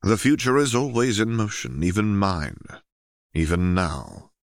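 A man voicing a weary, war-hardened male knight who speaks coldly and clipped, in a low gravelly voice. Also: a little slow, no echo. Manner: clipped, cold.

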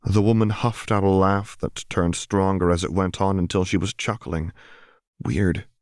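Guy with a low voice narrating a dramatic story, really dry close sound. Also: fairly even intonation, moderate pace, a little mellow, no room sound. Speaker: audiobook narrator.

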